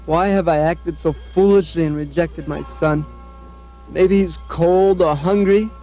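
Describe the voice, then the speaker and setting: A man plays a grieving puppet-show king, racked with remorse. His voice is pitched high and moves slowly and melodramatically, with faint music behind. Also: fairly even intonation, mellow, rough recording. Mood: anguished remorse. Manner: slow, melodramatic lamenting. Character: anguished, remorseful king and father in devotional puppet play. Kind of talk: scripted devotional puppet-show acting.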